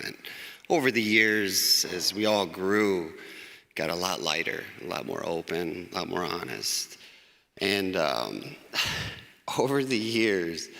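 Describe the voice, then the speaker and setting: A man's voice: mid-range voice, pushed and bright. A man in his forties, a grandson sharing rambling, laughing memories in a casual eulogy in a church. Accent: American. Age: 40s. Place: church with long reverb. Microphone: church microphone. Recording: church hall reverb.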